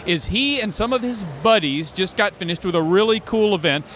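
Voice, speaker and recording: A man's voice: sits high, expressive, a little fast, fairly bright tone, a little hiss or hum. A man hosting an on-location interview, introducing his guest, summing up and asking questions in a conversational way. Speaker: interviewer, host.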